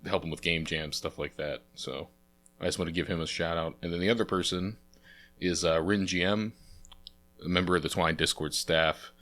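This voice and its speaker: Low-voiced stuffy-nosed man chatting through games. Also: fairly even intonation, moderate pace, fairly bright tone. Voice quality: sniffly, congested. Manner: chatty, rambling, lots of uh fillers. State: stuffy nose from a cold. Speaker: let's play host. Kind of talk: casual game playthrough commentary.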